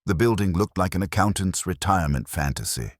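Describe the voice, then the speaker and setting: Male audiobook narrator for detective fiction: extremely low, husky, wry, lively intonation. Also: a little fast, no room sound. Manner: dry, wry. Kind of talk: scripted first-person detective story narration.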